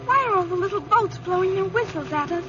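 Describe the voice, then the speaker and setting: A woman's voice: extremely high pitch, animated, fast talker, over loud music. A woman playing an anxious, earnest ten-year-old refugee girl in an old radio drama. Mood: frightened. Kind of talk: 1940s radio acting. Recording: noisy, muffled old radio recording.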